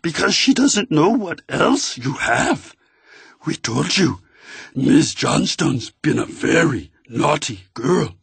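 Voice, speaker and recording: A man voicing a male text-to-speech phone voice that sounds like Yoda, croaky and menacing. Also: a little high, sing-song, slow pace, no echo, pitched above the performer's own voice, heavily acted. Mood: smug. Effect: phone call.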